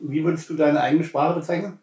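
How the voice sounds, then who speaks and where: A man's voice: fairly high pitch, steady tone, fast talker, a little mellow, reverberant. A man raised in Hesse, asking questions in a casual conversation, mostly with brief agreeing replies. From Germany, raised in Hesse. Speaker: interviewer.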